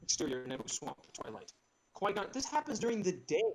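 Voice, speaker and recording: A man's voice: sits high, wildly swooping pitch, a little fast, noisy background. A man narrating a script in a casual group read, breaking off for playful, mocking asides, heard through a slightly distorted laptop microphone. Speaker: narrator reading screenplay stage directions. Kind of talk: joking group table read and casual online chat. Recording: distorted laptop mic on online voice chat.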